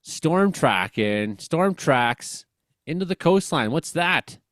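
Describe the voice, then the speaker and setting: A man's voice: a little high, big pitch swings, slow, a bit bright, no echo, clean studio sound. A man with a Western Canadian accent hosts a comedy weather show in casual, rambling, slangy chat, joking and exclaiming as he comments.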